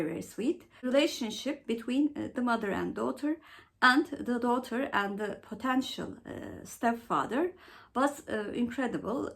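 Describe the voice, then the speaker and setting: A woman presenting a book vlog as host, going through questions in a halting way with frequent mid-phrase pauses. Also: fairly high pitch, creaky. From Turkey.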